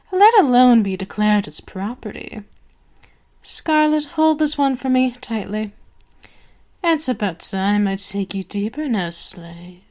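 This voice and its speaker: A woman playing an imperious, sadistic vampire queen in an ASMR roleplay, formal and commanding, seductive and possessive as she savors each phrase. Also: on the high side. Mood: dominant, taunting.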